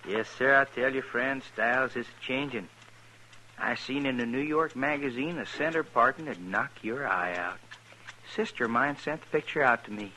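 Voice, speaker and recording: An actor playing a gossipy, eager-to-please small-town barber in a 1950s radio western. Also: male voice, mid-range voice, moderate pace, pushed and bright, slight background noise, natural voice, rough recording. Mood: chatty. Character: chatty fussy small-town male barber. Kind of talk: 1950s radio drama acting.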